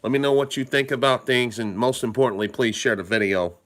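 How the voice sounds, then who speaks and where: A man hosting a live stream, hoarse and stuffed up from a cold, talking casually in a rambling way and greeting viewers by name. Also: mid-range voice, fairly quick, a bit bright. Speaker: livestream host.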